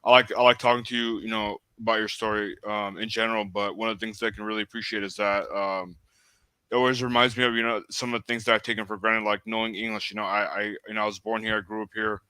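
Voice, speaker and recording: A man's voice: lowish voice, little pitch movement, fast talker, pushed and bright, clear, resonant tone, dry recording, low-quality recording. A man from the United States whose first language was Armenian, hosting a casual interview and talking hesitantly with many fillers. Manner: hesitant, heavy on "you know" fillers. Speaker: host.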